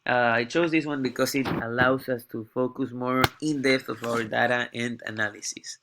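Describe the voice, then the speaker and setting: A young male student talks through a class presentation while sick and coughing. He speaks in a halting way and often repeats a word before pressing on. His voice sits at an ordinary middle pitch. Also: steady tone, gravelly, sharp tone, slight background noise. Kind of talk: class presentation walking through a data dashboard.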